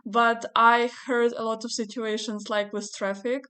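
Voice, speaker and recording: A woman's voice: a little high, flat delivery, measured pace, sharp tone, clear, resonant tone. A woman from Ukraine hosting an interview over a video call, speaking English politely and carefully with prepared questions.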